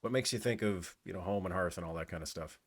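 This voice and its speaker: A middle-aged man hosting a casual chat, relaxed and given to stammering restarts. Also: low-pitched, fast, no echo. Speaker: host.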